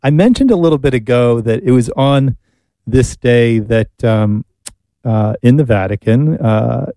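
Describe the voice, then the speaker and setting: A middle-aged man with an American accent co-hosting a talk show, reminiscing casually in a halting, reflective way. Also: mid-range voice, animated, dark tone, no echo.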